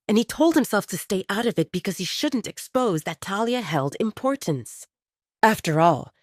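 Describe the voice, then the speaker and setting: A woman's voice: on the low side, no echo, clean studio sound. A woman reading scripted, dramatic narration for an audio drama.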